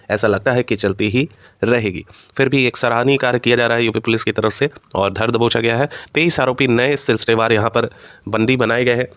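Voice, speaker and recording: A man's voice: lowish voice, a bit bright, dry recording. A man hosting an informal exam news update, explaining things to students and mixing in English terms. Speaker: host of an exam news channel.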